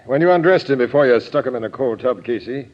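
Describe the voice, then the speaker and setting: An actor playing a plain-spoken, matter-of-fact police captain in a 1940s radio drama. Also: male voice, mid-range voice, quick pace, natural voice. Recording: muffled old radio recording with long reverb.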